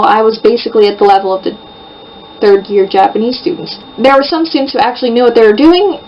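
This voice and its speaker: A young American woman in her twenties chatting casually to camera in a rambling way, full of fillers. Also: medium pitch. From United States. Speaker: vlogger. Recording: muffled distant home-video sound, faint hiss.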